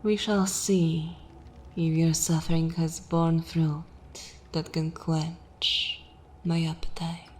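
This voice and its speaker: Woman acting a slow, imperious vampire mistress. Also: deep voice, flat delivery, a little hiss or hum. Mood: mock-tender, ominous. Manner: slow, commanding, theatrical, breathy whispering at times. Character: imperious, seductive vampire mistress, coldly mocking her human supplicant. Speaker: ASMR roleplay performer. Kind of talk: ASMR dark fantasy roleplay, partly whispered.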